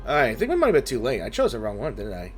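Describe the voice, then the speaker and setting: A man's voice: medium pitch, wildly swooping pitch, very fast, soft background music. A man giving casual commentary on a video game and reading some of its dialogue aloud. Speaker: gameplay streamer.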